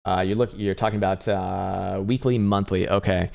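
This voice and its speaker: A man hosting a live crypto trading stream, talking loosely and profanely with halting restarts as he reads viewer chat, his voice getting strained and hoarse. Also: sits low, fairly even intonation, a little mellow. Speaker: crypto trading livestream host. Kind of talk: casual live-stream chart commentary.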